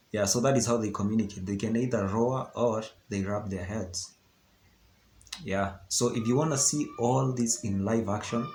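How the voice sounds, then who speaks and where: A man's voice: medium pitch, darkish tone. A young Kenyan man with a Kenyan accent, English not his first language, explaining things in a chatty vlog, often checking in with "okay? yeah". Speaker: vlogger. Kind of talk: casual educational vlog talk. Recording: roomy echo with faint background hiss.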